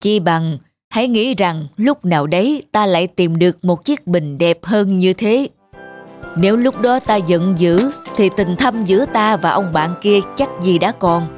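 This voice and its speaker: A woman voicing a kindly, calm older male antique collector in a moral folk-tale cartoon, measured and gently instructive. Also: fairly low pitch, soft background music. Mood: serene, philosophical.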